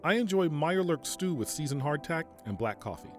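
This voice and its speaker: A man playing a stern, principled army general in an audio drama, speaking formally and deliberately. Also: a little high, expressive, over music, close to an everyday voice. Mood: formal approval. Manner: formal, measured, deliberate speech. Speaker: military general giving a radio interview.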